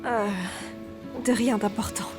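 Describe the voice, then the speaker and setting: A woman playing a reserved, uneasy teenage schoolgirl in a French video game dub, soft-spoken and hesitant. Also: a little high, fairly even intonation, takes it slowly. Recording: music behind the voice.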